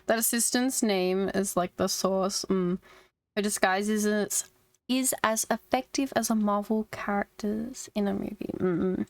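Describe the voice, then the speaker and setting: Unhurried woman game streamer. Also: mid-range voice, fairly even intonation, no echo. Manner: joking, wry. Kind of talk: casual live commentary over a game.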